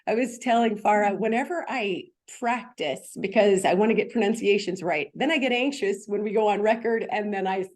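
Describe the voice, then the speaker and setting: A woman's voice: medium pitch, normal speed, hardly any pauses, darkish tone. A middle-aged woman hosting an interview, chatty and enthusiastic, laughing at her own slips. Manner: enthusiastic, chatty, self-deprecating. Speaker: podcast host. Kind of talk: casual interview chat.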